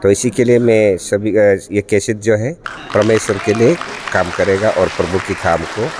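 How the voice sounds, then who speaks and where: A middle-aged man, the event organizer, speaking to news cameras in a church hall with halting pauses and fillers. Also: fairly low pitch, steady tone, a crowd in the background, background noise. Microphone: handheld news mics in front.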